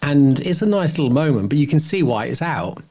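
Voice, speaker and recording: A man's voice: a little high, very animated, fairly quick, dark tone, breathy edge. A middle-aged man talking casually as a podcast co-host, thinking aloud in a reflective, rambling way. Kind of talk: casual discussion.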